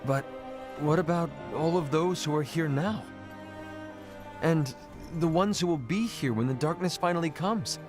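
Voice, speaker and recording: An actor playing a dutiful male warrior apprentice who speaks earnestly and solemnly in an animated game cutscene. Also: on the high side, a little slow, over music, natural voice. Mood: earnest, troubled.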